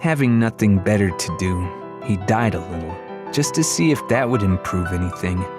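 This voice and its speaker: A man reading a children's fantasy novel as an audiobook narrator, in expressive, dramatic storytelling style. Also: on the low side, normal speed, music in the background.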